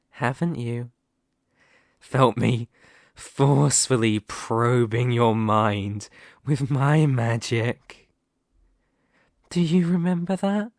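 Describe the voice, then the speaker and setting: Man acting a slow, menacing, gloating demon lord. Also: mid-range voice, a little mellow, dry recording, clean studio sound, close to an everyday voice. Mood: coaxing menace. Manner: slow, menacing, taunting with drawn-out words. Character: dominant, gloating, unhinged male demon lord. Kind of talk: ASMR fantasy roleplay acting.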